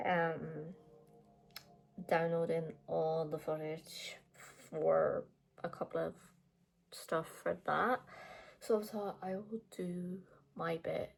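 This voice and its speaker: Young Irish woman vlogger, a bit low-pitched, blunt, chatty, laughs between points. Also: gravelly, rough recording. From Ireland. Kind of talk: casual chat to camera.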